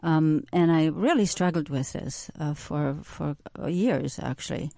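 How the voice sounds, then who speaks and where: A woman's voice: deep voice, a little slow, creaky, mellow, dry recording, studio quality. A woman, a visual artist, answering interview questions thoughtfully, hesitating and searching for words. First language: German.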